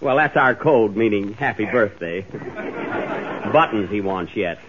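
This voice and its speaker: A man hosting a 1930s radio comedy show, with exasperated, deadpan reactions. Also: on the low side, a little slow, over a noisy crowd, heavy background noise. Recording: dull 1930s radio broadcast with studio audience.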